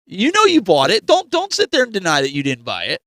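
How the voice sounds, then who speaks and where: Animated man bantering on a gaming show. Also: high-pitched, fairly quick, a little hiss or hum. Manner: informal, prodding with questions. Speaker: co-host of a gaming news show. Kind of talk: casual banter and discussion.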